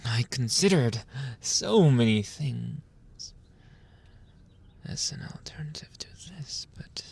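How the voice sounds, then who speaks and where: A man playing a menacing, possessive mafia boss in an ASMR roleplay, speaking deliberately with mock sweetness and often dropping into a whisper. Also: mid-range voice, animated, a little mellow, heavily acted. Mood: twisted tenderness. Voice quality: breathy, often whispering, creaky.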